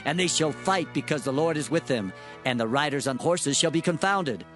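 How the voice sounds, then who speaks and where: An actor playing a solemn prophet, declaiming divine oracles in archaic language in a dramatized scripture reading. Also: male voice, fairly high pitch, animated, moderate pace, music in the background, lightly acted. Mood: grave, forceful.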